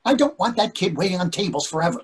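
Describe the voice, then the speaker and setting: A man's voice: high voice, animated, quick pace. Grumpy, exasperated older husband, acted by a man. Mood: irritable. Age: 60s. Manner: exasperated, argumentative. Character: grumpy, hungry middle-aged husband out at dinner. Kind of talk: readers theatre dialogue. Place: indoors on a video call.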